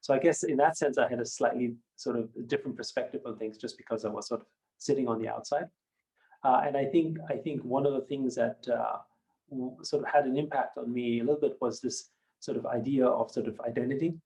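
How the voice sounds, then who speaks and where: Reflective man with a mellow voice. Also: medium pitch, fairly even intonation, rough recording. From Austria. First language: German. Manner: measured and reflective, many hedging fillers. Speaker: company founder and guest. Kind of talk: podcast interview.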